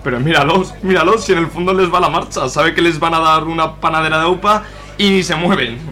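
A man's voice: on the high side, a little fast, sharp tone. A man playing a crude, gloating grandfather in a comic radio serial. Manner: coarse, swearing, gloating. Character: crude gleeful grandfather, proud of his grandson. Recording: noisy recording with ambient sound.

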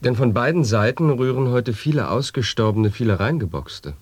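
A man performing a solemn monologist in an avant-garde radio play, reciting absurd wordplay in a measured, declamatory way. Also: medium pitch, expressive, breathy edge, no room sound. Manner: deliberate, measured, declamatory delivery.

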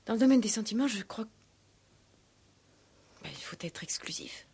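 An actress playing a proud, guarded young woman in a 1960s French radio play, breathy, hesitant and defensive. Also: a little high, lively intonation, moderate pace, a little mellow, heavily acted. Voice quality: breathy, often near whisper. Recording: old 1960s radio recording.

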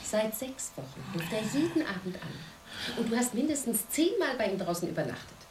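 An actress playing an exasperated, no-nonsense wife in a German radio drama, pressing and reproachful in manner. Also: female voice, on the high side, big pitch swings, normal speed, background noise.

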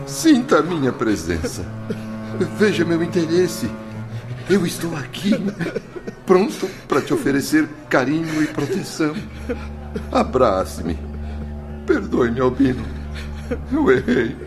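A man's voice: on the high side, huge pitch swings, slow pace, pauses often, music in the background, heavily acted. A man acting in a radio drama as the remorseful, desperate spirit of a dead father, pleading emotionally. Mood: tender pleading.

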